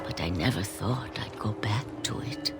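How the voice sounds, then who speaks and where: An actress playing an elderly, stubborn, wistful great-grandmother with a creaky aged voice, telling stories slowly and deliberately in a video game. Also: very deep voice, whispered, over loud music. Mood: wistful, hushed. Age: older. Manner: slow, deliberate delivery. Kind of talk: dramatic video game storytelling.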